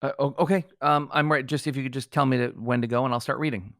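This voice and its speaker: A man's voice: mid-range voice, steady tone, fast, a bit bright, no room sound, clean studio sound. A man co-hosting a comedy podcast, moving between banter and mock-solemn reading.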